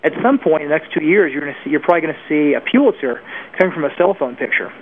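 A man's voice: a little high, expressive, racing. A man giving informal, opinionated answers as an interview guest, speaking conversationally and with emphasis. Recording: narrow phone-like line with faint noise.

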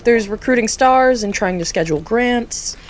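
A woman's voice: on the high side, moderate pace, lightly acted. An actress playing an eager young woman new to the job, a bit awkward with her colleagues. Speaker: new facilitator Meredith.

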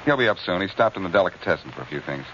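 An actor playing a courteous, quick-witted male detective, smooth and take-charge, in 1940s radio drama style. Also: low voice, lively intonation, fairly bright tone. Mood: brisk. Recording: muffled, noisy old-time radio recording.

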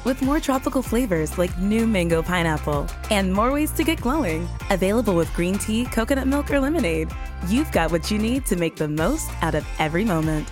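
A woman's voice: mid-range voice, lively intonation, a little fast, music in the background. A woman reading a scripted, upbeat commercial voice-over.

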